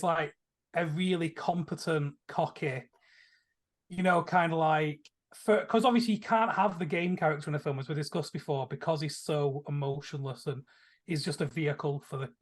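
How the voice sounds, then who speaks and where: A man's voice: on the high side, normal speed. A man talking casually as a podcast panelist, explaining his opinions in a tentative, conversational way. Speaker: podcast panelist reviewing films.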